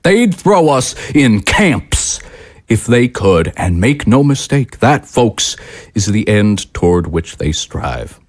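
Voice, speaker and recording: A man's voice: fairly low pitch, big pitch swings, slow pace, no room sound, clean studio sound, full character voice. A man playing a fervent, aggrieved patriotic political commentator in an audio drama, with an impassioned, oratorical delivery. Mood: aggrieved, indignant.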